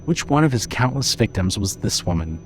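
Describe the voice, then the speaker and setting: A man's voice: fairly low pitch, sing-song, fairly quick, soft background music. A man reading scripted horror fiction as the narrator of an audio drama.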